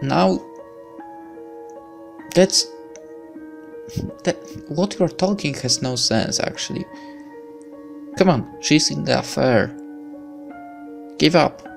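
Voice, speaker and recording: A man's voice: mid-range voice, gravelly. A man reading a visual novel aloud as narration, breaking into casual commentary as a let's player.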